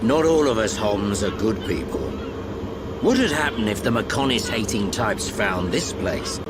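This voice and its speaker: An actor with a British accent playing a roguish, wry older mercenary in a video game. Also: male voice, lowish voice, very animated, unhurried, very noisy. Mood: sly. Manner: wry, casual, teasing. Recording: loud game ambience behind dialogue.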